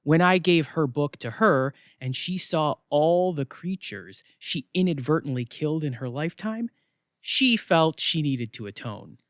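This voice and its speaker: A male actor plays a wisecracking, easygoing male gatekeeper of the afterlife in an audio comedy. His chatty, flippant delivery jumps around in pitch. The sound is dry, with no room around it. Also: expressive, measured pace, fairly bright tone, no room sound. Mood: casual, dry. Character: breezy, wisecracking male afterlife check-in attendant. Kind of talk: audio theatre comedy acting.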